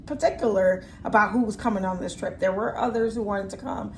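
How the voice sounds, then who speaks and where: A woman's voice: medium pitch, steady tone, brisk. Woman, forties, casual vlog chat, reflective, faint room noise. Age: 40s. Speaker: vlogger.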